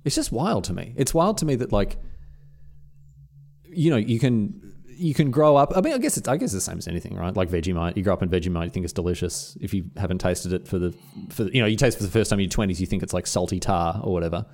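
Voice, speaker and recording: A young man co-hosts a laid-back chat. His pitch swings widely as he jokes around, and he slips into playful put-on voices when he quotes people. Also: animated, quick pace, darkish tone. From Australia. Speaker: podcast co-host. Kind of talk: casual chat.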